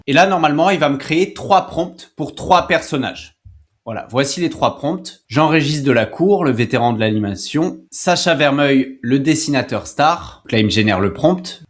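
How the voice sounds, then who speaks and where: A man hosts an informal tutorial. He explains each step in a conversational, instructive way, speaking straight to the viewer as he works at his computer. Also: on the high side. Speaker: tutorial host.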